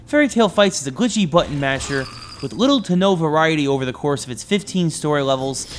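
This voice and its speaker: Higher-voiced man reviewing games. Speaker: video game reviewer. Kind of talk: scripted video review narration. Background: background music.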